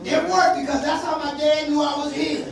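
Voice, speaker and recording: A woman comedian from South Carolina with a deep voice, doing a loud, punchy stand-up set and working the crowd. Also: little pitch movement, fairly quick, vocal fry, distant mic, very noisy. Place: comedy club stage. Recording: echoey live venue, mic heard from afar, crowd laughter. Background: audience laughing.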